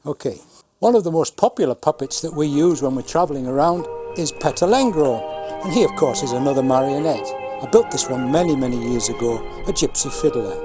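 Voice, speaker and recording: A man in his seventies, a puppeteer, explaining and showing his craft in a measured way with dry humour. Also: on the high side. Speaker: puppeteer, Punch and Judy showman. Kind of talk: informal talk demonstrating puppets.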